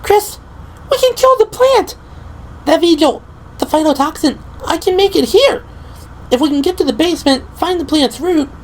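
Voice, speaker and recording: A man's voice: extremely high pitch, expressive, moderate pace, pitched above the performer's own voice, poor audio. A man voicing an eager, clever young woman, a medic in an audio drama. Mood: excited. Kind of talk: audio drama character acting. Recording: faint background noise.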